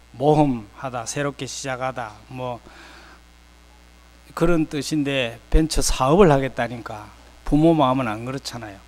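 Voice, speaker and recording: An older man from South Korea preaching a sermon with personal anecdotes, speaking conversationally in short, unhurried phrases. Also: medium pitch.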